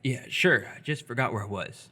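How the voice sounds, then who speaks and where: A man's voice: mid-range voice, moderate pace, pushed and bright, a little hiss or hum, close to an everyday voice. An actor in live radio theatre playing an awkward, earnest seventeen-year-old boy, hesitant and curious.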